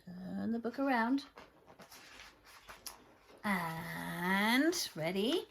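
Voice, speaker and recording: A woman reading a picture book aloud to young children, expressively, with dramatic pauses and hushed phrases. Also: medium pitch, expressive, creaky, slight background noise. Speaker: children's story reader.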